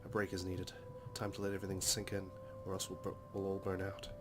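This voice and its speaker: Low-pitched, even-toned man reading dramatically over music. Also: fast talker. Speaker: let's play narrator. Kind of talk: dramatic reading of visual novel narration. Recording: loud music behind the voice. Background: game soundtrack music.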